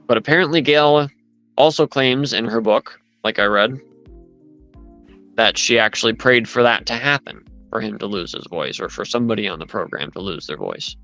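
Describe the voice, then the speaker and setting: A man's voice: lowish voice, expressive, moderate pace, bright, forceful tone, faint music behind, rough recording. A man in casual chat, rambling as he retells events with lots of asides.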